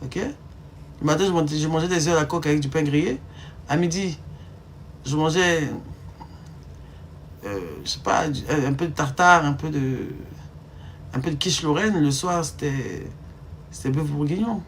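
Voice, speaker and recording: A woman's voice: low-pitched, moderate pace, long pauses, a little hiss or hum. A woman in her thirties from Ivory Coast with an Ivorian French accent, chatting casually on a live stream in an emphatic, teasing way and firing rhetorical questions at her viewers. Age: 30s.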